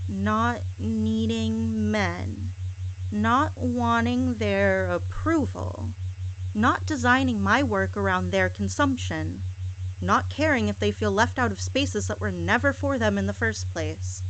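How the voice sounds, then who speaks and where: A woman delivering a scripted monologue in a sarcastic, deliberate manner, with repetitive phrasing. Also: medium pitch, rough recording. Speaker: hypnosis audio performer. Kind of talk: scripted monologue, mock apology.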